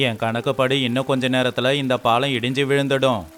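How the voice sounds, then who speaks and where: A man's voice: mid-range voice, flat delivery, fairly quick, a bit bright, a little hiss or hum, close to an everyday voice. A man voicing a proud, stubborn, book-learned young village man in a cartoon moral story, lecturing emphatically. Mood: stern warning. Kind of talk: animated moral story dialogue.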